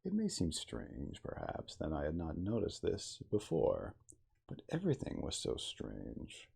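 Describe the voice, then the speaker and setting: A man playing a dignified starship captain, reading a classic novel aloud in a measured, theatrical ASMR audiobook style. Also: very deep voice, huge pitch swings, creaky, dark tone, husky, heavily acted. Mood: pensive, intrigued. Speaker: cosplayer reading aloud as a character.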